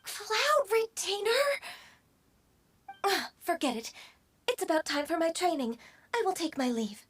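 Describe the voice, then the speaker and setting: A woman voicing a reserved, half-divine young woman in a game, speaking softly and formally. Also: extremely high pitch, big pitch swings, heavily acted. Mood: flustered. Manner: soft, measured, formal, slightly breathy. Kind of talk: video game voice acting.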